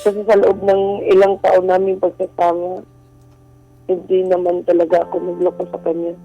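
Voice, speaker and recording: Female radio caller, mellow timbre, hesitant and sincere confession over faint music. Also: on the low side, completely flat delivery, normal speed, dull audio. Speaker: radio show caller sharing a secret. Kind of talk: casual, confessional chat.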